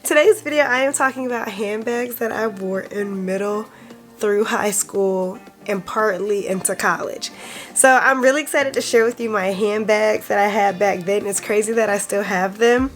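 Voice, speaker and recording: A woman's voice: medium pitch, fairly even intonation, normal speed, soft background music. A woman in her twenties hosting a casual vlog, sounding congested from a cold and chatting in a rambling way with frequent ums. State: congested, recovering from illness. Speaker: vlog host.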